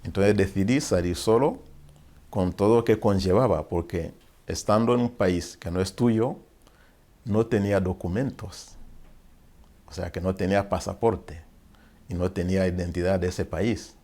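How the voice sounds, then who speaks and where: A man in his forties from Rwanda, a priest who is an interview guest, recounting his life in a reflective way and pausing to search for words. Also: low voice, mellow. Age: 40s.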